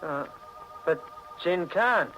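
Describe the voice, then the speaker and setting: An actor playing an anxious, scheming husband who confesses to murder in a melodramatic 1940s radio mystery. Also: male voice, sits high, expressive, slow, sharp tone, faint music behind, exaggerated voice. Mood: rattled. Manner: melodramatic, intense. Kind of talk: 1940s radio mystery acting, first-person narration. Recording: noisy old 1940s radio recording.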